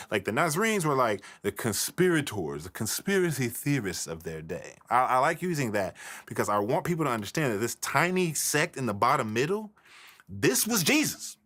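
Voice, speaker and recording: Animated man giving an informal lecture. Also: medium pitch. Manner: animated, colloquial, emphatic. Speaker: religious history teacher.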